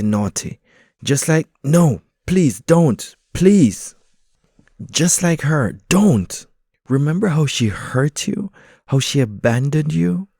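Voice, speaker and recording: A man voicing a pair of paranoid talking pigeons that coax and nag, in a gravelly voice pushed high. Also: warm tone, no room sound, studio quality, heavily acted. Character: nagging paranoid pigeons, shrill and coaxing.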